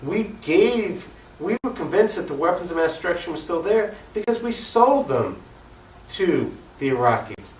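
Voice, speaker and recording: A man's voice: sits high, big pitch swings, moderate pace, a little mellow. An American man speaking earnestly and emphatically as an activist guest in an unscripted political interview. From United States. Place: echoey room, cable TV interview. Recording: echoey room, distant mic, faint noise.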